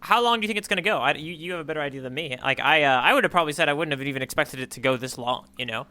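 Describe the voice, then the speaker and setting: Bright, clear-voiced man chatting on a podcast. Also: a little high, rapid-fire. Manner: jokey, playful riffing. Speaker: podcast co-host. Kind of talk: casual chat on a manga readalong podcast.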